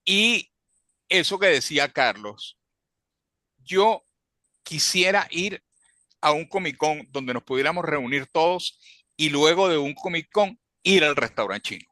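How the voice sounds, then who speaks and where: A man's voice: a little high, lively intonation, unhurried, pauses often, bright, forceful tone, no room sound, studio quality. A man from Venezuela with a Venezuelan accent, talking casually and at length about memories, often adding little check-in questions. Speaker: fan club member. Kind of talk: casual reminiscing in a group talk.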